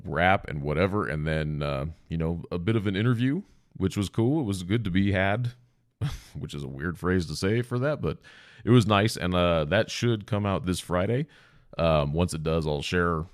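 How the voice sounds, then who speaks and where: A man's voice: sits low, no room sound, studio quality. A man hosting a solo podcast. He talks in a rambling, casual, self-deprecating way with some swearing, and sounds congested and stuffy-nosed from a sinus cold. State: sore throat from long talking. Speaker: podcast host.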